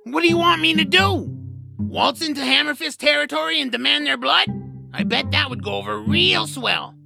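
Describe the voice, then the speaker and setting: A woman voicing a small, grumbling, cowardly troll with a creaky, whiny, theatrical delivery in a family audio drama. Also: high-pitched, animated, moderate pace, full character voice. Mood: grumbling, defensive. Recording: music under the voice. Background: background music.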